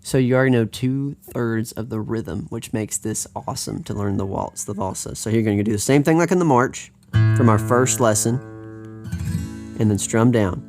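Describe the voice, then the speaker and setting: A man's voice: mid-range voice, normal speed, darkish tone. An American man teaching a live guitar lesson, talking in an upbeat, chatty way that wanders and restarts.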